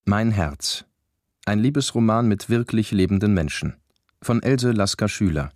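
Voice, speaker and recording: Low-voiced sober male radio announcer. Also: a little slow, darkish tone, dry recording, studio quality. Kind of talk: scripted radio drama narration and credits.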